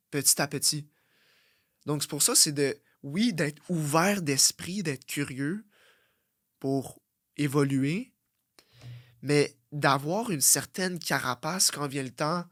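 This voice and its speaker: A young man with a Québécois French accent, hosting a blunt, profane motivational podcast that swings from loud outbursts to low muttering. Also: dry recording, studio quality. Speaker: fitness coach and podcast host.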